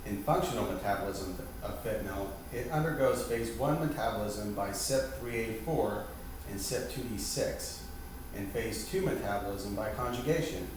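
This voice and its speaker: A man reads out a formal lesson as an instructor. He sounds distant from the microphone in a heavily reverberant room, with clear noise behind him. His delivery is scripted, with small stumbles on technical words. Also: lowish voice, normal speed. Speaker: instructor leading a staged class. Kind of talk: scripted lesson presentation, reading aloud. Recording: very echoey room, far mic, loud background hiss.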